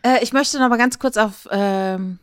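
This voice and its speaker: A woman's voice: fairly high pitch, measured pace, smooth, dry recording, clean studio sound. A woman chatting casually as a podcast co-host.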